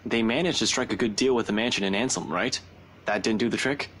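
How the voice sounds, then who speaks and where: A man plays a young man in a visual novel game, wry and cocky with a defensive edge. His voice sits fairly low and casual. Clear noise runs behind the recording. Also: fast talker, natural voice. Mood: curious, puzzled. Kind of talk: voice-acted visual novel dialogue.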